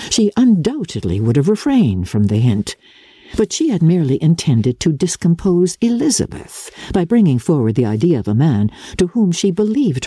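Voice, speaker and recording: A woman narrates classic literature with a mellow, husky voice and formal, measured phrasing. Her pitch rises and falls in a lively, dramatic way. The sound is close and dry, suited to storytelling. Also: dry recording. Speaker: audiobook narrator.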